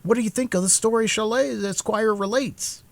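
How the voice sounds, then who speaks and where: A man voicing an eager young musketeer who spreads court gossip in a high, excitable voice, in an audiobook reading. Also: normal speed, vocal fry, no echo. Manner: high, excitable telling of news.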